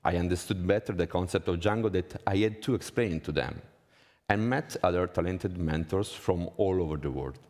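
Male conference speaker, thirties, low voice, Italian accent, measured prepared delivery, long hall reverb. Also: darkish tone. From Italy. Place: conference hall stage, indoors.

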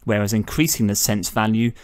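A man narrating a step-by-step screen tutorial as the channel host, measured and instructional. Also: fairly low pitch, darkish tone, clean tone.

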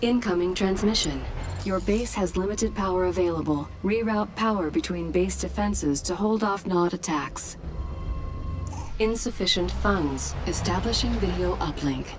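An actress voicing an impersonal battle computer that gives neutral, clipped announcements in a strategy game. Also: female voice, fairly low pitch, measured pace, noisy background, lightly acted. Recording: game music and vehicle sounds behind.

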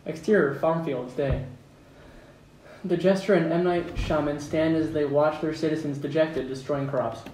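A man narrates stage directions at a table read. His voice is a bit high. He sounds slightly distant in an echoey room with faint background noise. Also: moderate pace, darkish tone.

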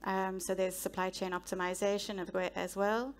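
South African businesswoman, flat measured delivery. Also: mid-range voice. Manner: measured, businesslike, with frequent ums. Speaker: guest speaker, head of procurement. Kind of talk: on-stage interview. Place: stage of a large conference hall. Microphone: headset mic.

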